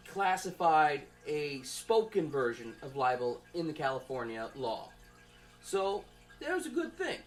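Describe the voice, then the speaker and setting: A young adult college student giving an informal class presentation in a conversational, rambling and halting way, as if thinking aloud. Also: mid-range voice.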